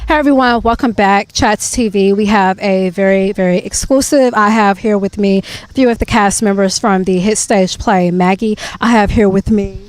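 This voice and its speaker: A woman's voice: mid-range voice, moderate pace, few pauses. Upbeat woman interview host. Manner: upbeat, chatty, many "you know" fillers. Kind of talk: casual interview.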